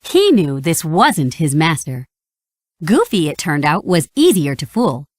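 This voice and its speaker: A woman reading a children's read-along story in an expressive, scripted storytelling style. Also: sits low, unhurried, no room sound, clean studio sound. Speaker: storybook narrator.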